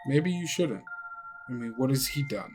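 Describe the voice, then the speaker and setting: Game master acting sullen girl companion, distrustful, blunt, skeptical, soft music behind, tabletop roleplay. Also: mid-range voice, expressive, moderate pace, natural voice.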